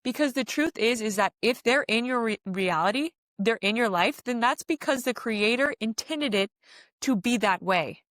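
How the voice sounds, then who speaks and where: A woman's voice: on the high side, expressive, moderate pace, fairly bright tone, no room sound, studio quality. A woman giving an informal spiritual self-help monologue as a podcast host, speaking earnestly and repeating phrases for emphasis.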